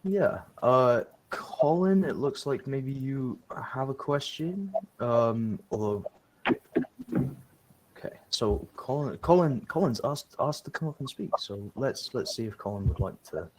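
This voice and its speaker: A man's voice: mid-range voice, animated, a little slow, darkish tone, a little hiss or hum, low-quality recording. A man moderating an online session, casual and loose, often repeating words as he hands the floor to speakers. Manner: casual, lots of fillers, repeats himself. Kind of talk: moderating a video call session. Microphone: video call.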